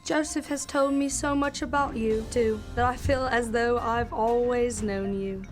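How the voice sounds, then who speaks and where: A gushing, sweetly flattering widow, acted by a woman in old-time radio comedy style. Her voice is fairly high and affectionate, with music clearly behind it. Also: unhurried, natural voice. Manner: effusive, affectionate.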